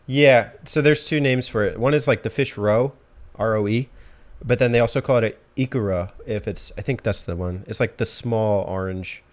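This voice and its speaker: A man's voice: lowish voice, normal speed. A man hosting a podcast, chatting casually with his guest. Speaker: podcast host. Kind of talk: casual interview chat.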